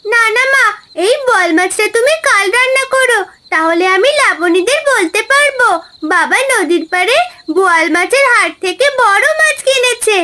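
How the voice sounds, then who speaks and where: A woman voicing an excitable, pleading little village girl in a cartoon. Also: extremely high pitch, normal speed, a little hiss or hum, exaggerated voice. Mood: delighted. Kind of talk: cartoon voice acting.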